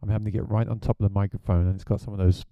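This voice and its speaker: Brief: a low, dark-toned man, relaxed gear reviewer, dry close sound. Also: rapid-fire, clean tone, no echo, dull audio. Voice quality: deep voice. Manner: chatty, plain-spoken. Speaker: gigging musician reviewing gear.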